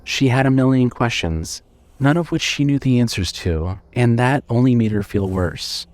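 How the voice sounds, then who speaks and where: A man narrating scripted horror fiction in a measured, dramatic storytelling manner. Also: medium pitch, lively intonation, darkish tone, no room sound.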